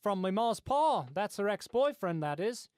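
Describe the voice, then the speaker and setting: A man's voice: sits high, very animated, moderate pace, a little mellow, clean tone, dry recording, clean studio sound. A man playing a chatty, eccentric market farmer in a radio comedy sketch, rambling in a folksy, matter-of-fact way. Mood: secretive, matter-of-fact.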